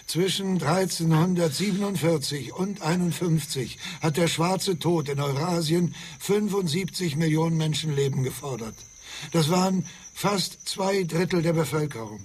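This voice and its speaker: A man's voice: fairly high pitch, normal speed, a little hiss or hum, close to an everyday voice. A male actor in a German science fiction radio drama, playing a cynical, condescending and cowardly survivor with a formal way of talking. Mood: condescending, lecturing. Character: cynical, lecturing man, cowardly survivor of a future catastrophe.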